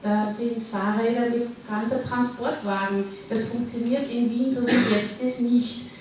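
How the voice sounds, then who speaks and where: A woman's voice: fairly high pitch, fairly even intonation, unhurried, a little mellow, a little hiss or hum. A woman with a Dutch accent speaking up hesitantly from the audience at a public panel discussion. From Netherlands. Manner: hesitant, searching for words. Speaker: audience member. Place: large hall at a public event. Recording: echoey hall, distant mic.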